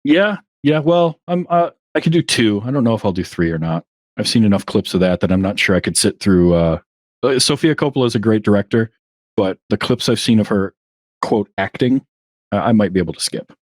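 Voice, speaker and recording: A man guesting on a podcast, chatting in a relaxed way with plenty of fillers. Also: on the low side, brisk, no echo, clean studio sound. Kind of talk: casual interview chat.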